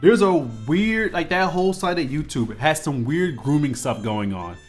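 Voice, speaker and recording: A man's voice: fairly high pitch, lively intonation, normal speed, faint music behind. A man in his twenties, a streamer, chatting casually and rambling with lots of fillers and restarts while he draws on a live stream. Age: 20s.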